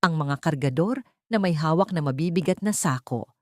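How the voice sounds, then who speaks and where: A woman's voice: deep voice, animated, normal speed, a little mellow, no room sound, clean studio sound. A woman narrating a scripted audiobook drama in a dramatic storytelling style.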